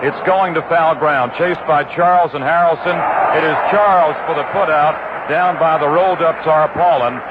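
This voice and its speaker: A man giving detailed, brisk baseball play-by-play commentary on live radio. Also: over a noisy crowd. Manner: brisk, detailed, rises in pitch on exciting plays. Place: ballpark broadcast booth. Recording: tinny 1960s AM radio broadcast with hiss.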